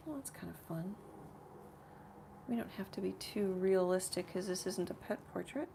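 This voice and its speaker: A woman hosts a relaxed live painting demonstration, thinking out loud as she works. Her voice is a little rough, with a gravelly creak and a middle pitch. There is faint noise in the studio behind her. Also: normal speed. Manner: rambling, thinking aloud while working. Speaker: painter hosting a live art tutorial. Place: home art studio.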